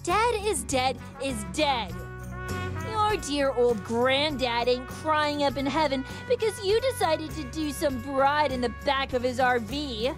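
Lady doing a drunk loudmouth girl from a visual novel, high pitched, scolding, music behind. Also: expressive, unhurried. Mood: angry, scolding. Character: brash, drunken young woman, scornful and loud-mouthed. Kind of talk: dramatic visual novel read-aloud.

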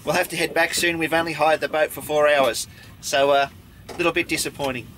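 A man's voice: a little high, fairly even intonation, a little fast. Chatty Australian man on a boat. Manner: chatty, self-deprecating, laughs often. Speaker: vlog host. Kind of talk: casual outdoor vlog. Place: small open boat on a river. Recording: noisy outdoor camera audio.

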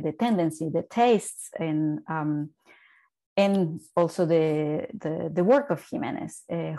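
A woman's voice: sits low, warm tone. A woman, a violinist speaking on a video call from home through earphones, thoughtful, with many fillers and restarts. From Bolivia. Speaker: violinist, quartet founder. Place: home living room. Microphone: wired earphone headset.

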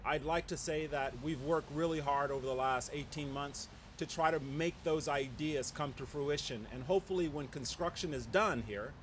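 A man's voice: fairly high pitch, a little hiss or hum. A middle-aged man, a landscape architect, giving a short, heartfelt and conversational speech at an outdoor podium. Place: outdoor plaza. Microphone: podium mic.

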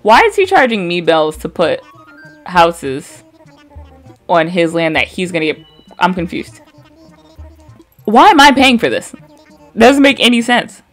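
Young midwest woman, bit of vocal fry, lowish voice, blunt and sweary gaming chatter over faint music. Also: measured pace, long pauses. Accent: American Midwest. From American Midwest. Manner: chatty, blunt, swears freely, reads game dialogue aloud flatly. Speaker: gaming streamer. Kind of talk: casual gameplay commentary.

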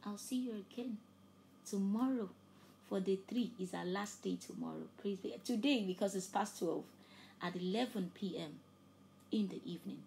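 A woman with Yoruba as her preaches fervently, her mid-range voice climbing to loud emphatic peaks. She often drops to soft, breathy near-whispers. A faint hiss of noise runs under the recording. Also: medium pitch, moderate pace, poor audio. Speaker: preacher and host. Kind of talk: preaching a sermon with an altar call.

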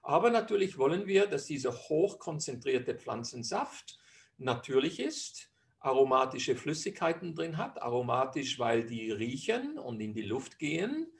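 A middle-aged Swiss man with a Swiss German accent gives an online lecture over slides. His voice carries a gravelly edge at a middle pitch, and he talks in a chatty, explanatory way with frequent hesitations. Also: very animated, unhurried. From Switzerland. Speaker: physician presenter.

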